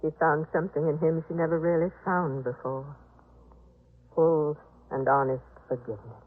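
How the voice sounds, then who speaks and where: A woman playing a devout, compassionate wife, earnest and gentle, in a 1950s radio drama. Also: sits low, steady tone, normal speed. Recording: tinny vintage 1950s radio recording.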